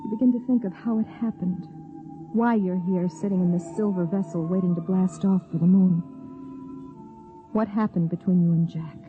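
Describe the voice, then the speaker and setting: An actress playing an anxious, jealous young wife in a dramatic 1950s radio drama. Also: female voice, on the low side, fairly even intonation, moderate pace, music in the background, lightly acted. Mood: brooding, uneasy. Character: anxious, jealous young wife of a spaceman, narrating her own story. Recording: muffled vintage 1950s radio recording.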